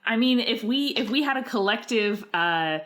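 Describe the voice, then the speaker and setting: A woman's voice: on the high side, lively intonation, normal speed, fairly bright tone. A woman co-hosting a podcast in casual chat, with a wry, joking manner. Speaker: podcast co-host.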